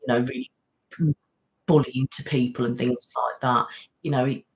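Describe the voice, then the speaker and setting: A woman's voice: extremely low pitch, fairly even intonation, normal speed, rough recording. A middle-aged British woman, an ASMR channel host, chatting casually on a live stream and answering viewers, rambling with many fillers. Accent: British English.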